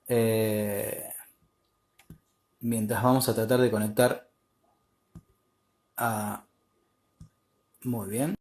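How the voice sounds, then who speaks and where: Argentine man hosting in a roomy echo. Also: medium pitch. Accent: Argentine Spanish. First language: Spanish. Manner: halting, searching for English words. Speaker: interviewer. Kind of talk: casual live interview chat.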